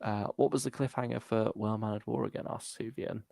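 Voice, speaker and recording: A man with a lowish, very creaky voice hosts a relaxed fan chat. He talks informally and tentatively, working his thoughts out aloud. The sound is dry and close, with hardly any room on it. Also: fairly quick, dry recording. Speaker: host of a fan discussion livestream. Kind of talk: casual chat.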